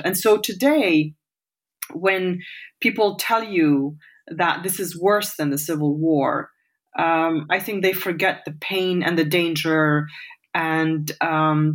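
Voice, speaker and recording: Here is a woman in her forties from Lebanon, answering interview questions in a thoughtful, measured way. Her voice sits a little low for a woman. She often pauses on fillers and restarts her sentences. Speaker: interview guest.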